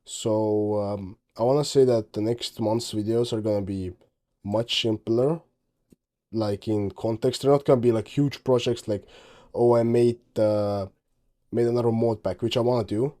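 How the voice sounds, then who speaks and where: Teen estonian dude talking english over his game footage, kinda low mellow voice, rambly and offhand, keeps correcting himself, sounds tired. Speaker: game dev vlogger. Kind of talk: casual commentary over screen recording.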